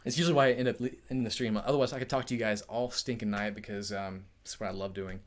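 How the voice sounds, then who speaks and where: Casual male streamer answering chat questions, energetic and friendly, often laughing. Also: on the low side, rapid-fire. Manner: upbeat, chatty, laughs often. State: overheated. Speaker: tech YouTuber hosting a live Q&A.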